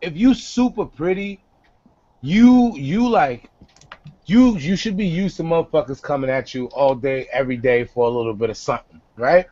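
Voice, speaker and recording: Brief: young New man, video-call host, lively and foul-mouthed, dry lo-fi headset sound. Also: normal speed, no room sound. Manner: animated and profane, swings between low and high voice. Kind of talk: casual chat on a video call. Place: small home room indoors.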